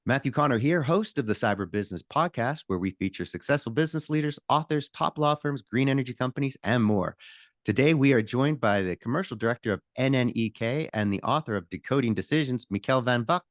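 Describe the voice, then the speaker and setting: A man hosts a business podcast in a dry, close-miked sound with little room echo. His voice sits at an average pitch. He asks his questions haltingly, stopping and restarting as he goes. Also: expressive. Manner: hesitant, many fillers and restarts when asking questions. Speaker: podcast host. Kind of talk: podcast interview and read sponsor ad.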